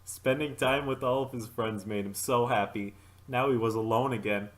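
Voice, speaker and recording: A man's voice: mid-range voice, normal speed, fairly bright tone. A man reading a story aloud as an amateur narrator, in a dramatic storytelling style. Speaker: fanfic reader.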